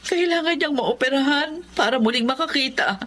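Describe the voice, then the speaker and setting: A woman's voice: sits high, brisk, fairly bright tone, slight background noise. A woman playing a devoted, long-suffering young wife and housemaid in a Filipino radio drama, earnest and emotional. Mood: anxious resolve.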